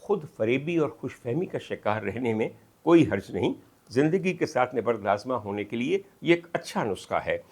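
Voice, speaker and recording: A man with a Pakistani accent delivering political commentary as an emphatic, oratorical monologue, with dramatic pauses and piled-up lists. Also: medium pitch, lively intonation, a little mellow. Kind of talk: rhetorical monologue of political analysis.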